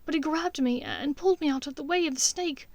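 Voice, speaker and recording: A woman's voice: high voice, a little fast, no echo, pitched above the performer's own voice. A woman voicing a frightened, guilt-ridden young witch in an audiobook, with a breathless, plaintive delivery. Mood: shaken, frightened. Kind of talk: audiobook character voice.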